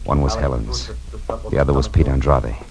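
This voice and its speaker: A man's voice: rumbling low, steady tone, moderate pace, gravelly, crowd noise. An American man playing a tough, wisecracking private detective in an old radio crime drama, speaking in clipped slang. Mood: grim narrating. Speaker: radio drama lead actor and narrator. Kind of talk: old-time radio crime drama, first-person narration. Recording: old radio recording, loud music behind.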